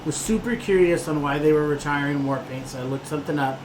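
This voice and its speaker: A man co-hosting a casual sports podcast, talking calmly and reasonably. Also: fairly high pitch, fast talker, reverberant, noisy background. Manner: calm, reasonable, reads news aloud. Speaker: podcast co-host.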